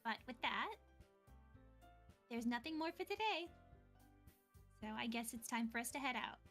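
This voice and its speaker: Woman voicing a high-pitched schoolgirl club leader. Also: expressive, moderate pace, a bit bright, soft background music. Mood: encouraging. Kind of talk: visual novel voice acting.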